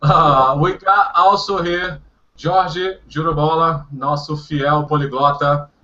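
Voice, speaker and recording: A Brazilian man runs a casual group video call with an upbeat, chatty energy. His voice is bright and sits a little high, and the audio comes through dull and lo-fi. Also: steady tone, takes it slowly. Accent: Brazilian Portuguese. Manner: upbeat, switches between Portuguese and English, laughs often. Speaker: host of a language-practice video call.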